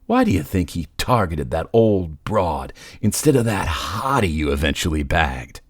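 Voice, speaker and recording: Very deep, gloating supervillain, acted by a man. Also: sing-song, unhurried, breathy edge, no echo, studio quality, full character voice. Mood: taunting, smug. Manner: taunting, drawling, condescending. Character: gloating, menacing male supervillain, sly and talkative. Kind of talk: comic book dub acting. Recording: faint music behind.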